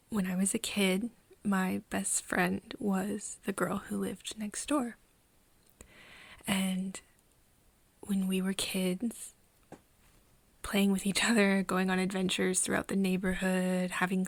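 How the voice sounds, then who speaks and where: A woman in an ASMR roleplay playing a nervous, caring friend, speaking softly and haltingly and often slipping into breathy whispers. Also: medium pitch, no echo. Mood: hesitant, reminiscing. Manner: hesitant, halting, with pauses and sighs. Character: nervous, caring young woman confiding in her vampire friend. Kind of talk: ASMR roleplay, intimate scripted acting. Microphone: close microphone, dry room.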